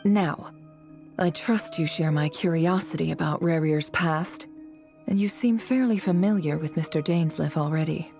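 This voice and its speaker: A woman voicing a cool, shrewd, self-assured woman in a video game, with a measured, teasing manner. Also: low voice, a little mellow, soft background music. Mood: composed, businesslike.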